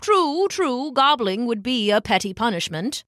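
Woman voicing a bumbling, animated old gentleman penguin. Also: a little high, a little slow, dry recording, clean studio sound. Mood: pondering. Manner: alliterative, hesitant, pompous. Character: elderly male penguin, bumbling, pompous, earnest schemer.

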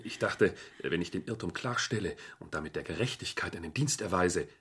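A man's voice: sits low, expressive, a little fast, fairly bright tone, slightly rough, heavily acted. An actor playing an uneasy, respectful street policeman in a crime radio play, polite, dutiful and defensive.

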